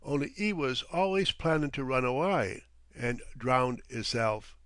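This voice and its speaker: A man voicing a gruff, mocking sea captain in an audiobook, with a Cockney accent, dropped aitches and a needling, sardonic way of teasing. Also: mid-range voice, lively intonation, unhurried, a bit bright, no room sound. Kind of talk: audiobook character voice.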